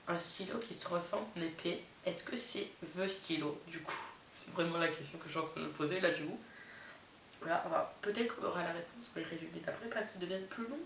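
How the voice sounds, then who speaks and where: A woman's voice: medium pitch, creaky. A young woman with a head cold and a nasal voice, chatting casually to her viewers and reacting with amused asides as she reads out summaries. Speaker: vlogger. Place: indoors, not her bedroom. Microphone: camera mic. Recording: roomy, distant camera mic with faint hiss.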